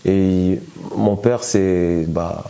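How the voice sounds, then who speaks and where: A man's voice: deep voice, steady tone, very slow, vocal fry, a crowd in the background. A man born in France telling his personal testimony in a hesitant way, with many fillers and restarts. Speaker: guest giving testimony.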